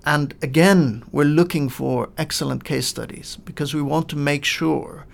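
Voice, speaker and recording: A middle-aged man from Lebanon talks through ideas as a podcast guest, pausing often with small fillers. His voice sits a little high for a man and has a gravelly edge. He sounds thoughtful and methodical. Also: fairly even intonation. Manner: measured, explanatory, with frequent uh fillers. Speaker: guest, coaching in education expert. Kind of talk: podcast interview answers.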